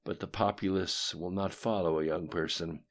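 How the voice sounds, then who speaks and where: A man voicing a menacing ancient being in an audiobook, gravelly, grandiose and contemptuous. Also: sits low, steady tone, normal speed. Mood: bitter. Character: menacing ancient male being speaking through a screen. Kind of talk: audiobook character dialogue.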